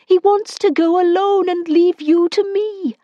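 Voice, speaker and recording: A woman voicing a frantic young woman who pleads in a high, trembling voice. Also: steady tone, unhurried, mellow, dry recording, muffled recording. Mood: desperate pleading.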